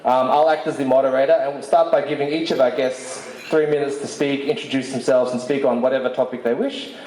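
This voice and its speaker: A man acting as moderator, briskly introducing speakers at a community forum. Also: medium pitch, steady tone, darkish tone. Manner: brisk, announcing. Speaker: event moderator. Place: community event hall. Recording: echoey hall with faint noise.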